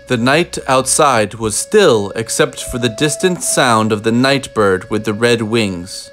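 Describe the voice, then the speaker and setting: A man reading a children's picture book aloud in an expressive way, with deliberate, dramatic phrasing. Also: mid-range voice. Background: music.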